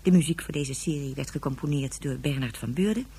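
A female narrator reads radio play credits in an even, measured way. Her voice is low and very breathy and husky. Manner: measured announcing. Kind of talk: announcing radio drama credits.

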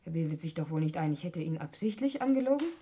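An actress playing an anxious, defensive young heiress in a 1960s German radio play, hesitant and indignant when challenged. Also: female voice, low-pitched, animated, fast talker, warm tone. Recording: faintly noisy 1960s radio recording.

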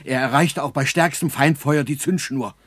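Husky, earnest army engineer played by a man. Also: mid-range voice, moderate pace, a bit bright, no echo, close to an everyday voice. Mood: shaken, despairing. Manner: formal military reporting. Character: earnest German army engineer captain, dutiful, shaken. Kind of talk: 1960s German radio drama acting.

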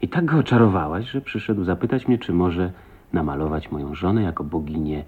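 An actor playing an easygoing, affectionate backwoods husband in a radio drama, with a playful, bantering manner. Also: male voice, low voice, brisk, a little mellow, close to an everyday voice. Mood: wry, fond. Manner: playful, bantering, swooping intonation. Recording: very muffled, narrow old radio recording.

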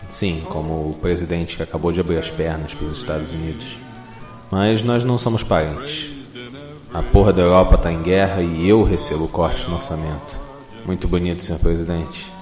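Man acting a deep-voiced, jaded police detective. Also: steady tone, normal speed, roomy sound, over music, natural voice. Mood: bitter, sardonic. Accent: Brazilian. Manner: sardonic, weary, blunt. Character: jaded, cynical, foul-mouthed male police detective. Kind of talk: noir audio drama narration and dialogue.

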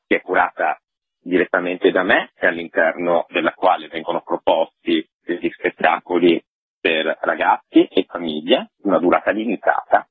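A man with a husky voice explaining things conversationally and in detail as a festival organiser in an informal radio interview. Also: low-pitched, no echo. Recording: tinny, narrow phone line.

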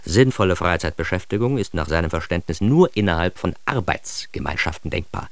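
A man's voice: deep voice, lively intonation, brisk, no room sound. An actor playing an ironic, self-important man who narrates his childhood in a radio drama, with wry, mock-grandiose emphasis. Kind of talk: scripted first-person radio drama narration.

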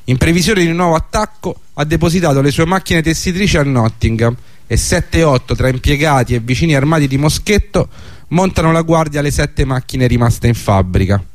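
A man's voice: a little high, a little fast, dry recording. A man reading a scripted historical narration for a radio drama.

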